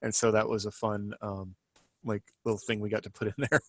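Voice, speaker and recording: A man with a fairly low voice, recorded close and dry, chats as a podcast panelist. He feels his way through ideas, restating them in a thoughtful, tentative fashion. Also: flat delivery, brisk, dry recording. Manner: reflective, tentative, rephrases his thoughts as he goes. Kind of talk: casual group discussion.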